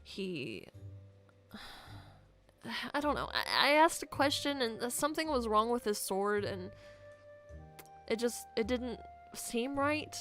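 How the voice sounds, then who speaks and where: A woman playing a troubled young camp girl in an improvised game roleplay, speaking haltingly and trailing off as she confides. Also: a little high, very animated, fairly bright tone, soft background music, exaggerated voice. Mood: hesitant, uneasy. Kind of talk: improvised Minecraft roleplay dialogue.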